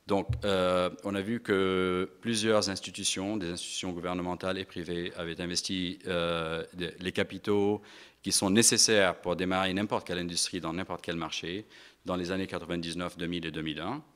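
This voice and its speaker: A middle-aged man giving a speech to an audience in an event hall, halting with many fillers and restarts. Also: low-pitched, flat delivery, a bit bright, clear, resonant tone. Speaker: investment firm partner giving a speech. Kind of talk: conference speech.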